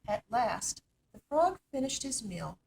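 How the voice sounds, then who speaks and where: A woman reading a children's story aloud as an expressive narrator. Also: on the high side, a little slow, gravelly. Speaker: storytime reader.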